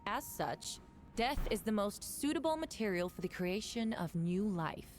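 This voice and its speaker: A woman's voice: mid-range voice, big pitch swings, close to an everyday voice. Woman as a formal, resolute prosecutor, faint music. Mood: grave reading aloud. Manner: formal, deliberate, accusatory. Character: formal, resolute female prosecutor presenting evidence in court. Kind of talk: video game voice acting. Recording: game audio with faint music behind.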